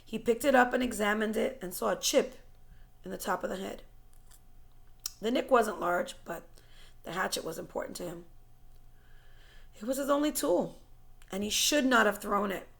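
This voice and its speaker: A woman reading a story aloud in an expressive, dramatic way, stressing key words and sometimes dropping to a hush. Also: mid-range voice, normal speed, vocal fry, long pauses. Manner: dramatic, emphatic, dropping to hushed tones. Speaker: teacher reading a book aloud.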